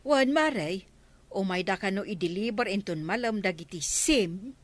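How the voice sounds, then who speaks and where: A woman's voice: medium pitch, expressive, moderate pace, close to an everyday voice. A woman with an Ilocano Filipino accent playing a dutiful, practical village councilwoman in a radio comedy drama. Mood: helpful, explaining.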